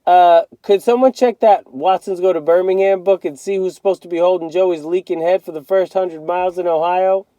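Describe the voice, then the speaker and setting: A man's voice: sits high, steady tone, moderate pace, hardly any pauses, warm tone, no room sound, pitched above the performer's own voice, close to an everyday voice, rough recording. A man reading a children's novel aloud as a streetwise, wisecracking teenage boy who complains in slangy disbelief. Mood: mock polite.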